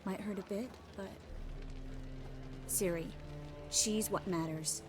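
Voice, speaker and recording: An actress voicing a resolute young-sounding sorceress in a video game, speaking in an earnest, assured manner. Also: female voice, mid-range voice, steady tone, slow, lightly acted. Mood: resolved, tender. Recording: music behind the voice.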